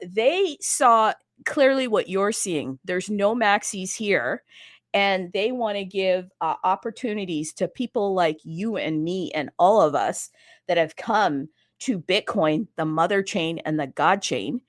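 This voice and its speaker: A woman hosting a casual chat about crypto. She is bubbly and excitable and talks fast in bursts. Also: mid-range voice, dry recording, clean studio sound. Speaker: host.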